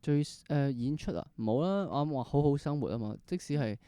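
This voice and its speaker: A man's voice: mid-range voice, animated, a little fast, mellow, clean tone, dry recording. A man with a Hong Kong Cantonese accent, casually hosting an interview, repeating phrases and mixing in English words. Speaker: podcast interview host.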